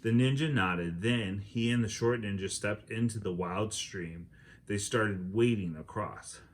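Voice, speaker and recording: Low-voiced man reading a children's book aloud. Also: a little slow. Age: adult. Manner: expressive, acts out dialogue.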